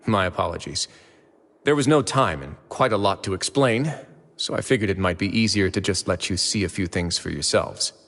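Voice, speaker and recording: An actor playing a composed, polite man in charge, speaking in a measured, formal way. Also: fairly low pitch, a bit bright, in a large hall. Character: composed male warden, calm and courteous.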